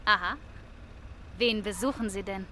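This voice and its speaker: A woman's voice: medium pitch, steady tone, unhurried, sharp tone, slight background noise. A woman playing an inquisitive, polite small-town local in a radio crime drama, chatty and natural. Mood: curious, wary.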